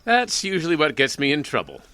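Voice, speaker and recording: A man's voice: on the high side, wildly swooping pitch, a little fast, bright, forceful tone, faint music behind, heavily acted. A man playing a dry, guarded small-town sheriff in a radio drama.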